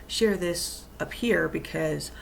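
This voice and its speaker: A woman talks casually to her followers, keeping her voice low so she won't wake someone in the house. Her voice has a strong gravelly fry, and she wanders through her thoughts with frequent ums and restarts. A faint noise sits under the recording. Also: takes it slowly. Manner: rambling, many ums and restarts; speaking quietly so as not to wake someone. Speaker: vlogger and fitness coach. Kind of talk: casual vlog chat.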